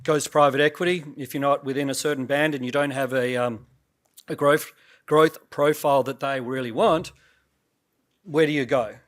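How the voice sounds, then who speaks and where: A man, a company CEO, pitching on stage at an investor event, his voice a little croaky and his talk loose, full of fillers and restarts. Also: fairly even intonation, normal speed. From Australia. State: slightly croaky voice.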